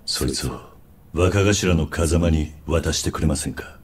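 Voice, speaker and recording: An actor playing a stoic, loyal young gangster in a video game drama, speaking tersely and gravely. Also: male voice, very deep voice, unhurried, heavily acted. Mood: subdued.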